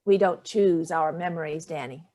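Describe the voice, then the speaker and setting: A woman's voice: deep voice, moderate pace, warm tone, lightly acted. An older woman playing a haughty, evasive elderly mother in a staged play reading, dismissive and theatrical with barbed remarks. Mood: scornful.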